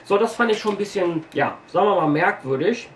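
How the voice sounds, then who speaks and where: A man in his forties from Berlin with a light Berlin accent, casually telling anecdotes to camera, checking his notes and pausing often with fillers. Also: high-pitched, lively intonation, fairly bright tone. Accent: light Berlin German. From Berlin, Germany. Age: 40s. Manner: chatty, reads from notes, frequent pauses and fillers. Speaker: video host telling shop stories. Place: small room packed with shelves, indoors. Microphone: camera mic a few metres away. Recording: roomy, distant camera audio with faint hiss.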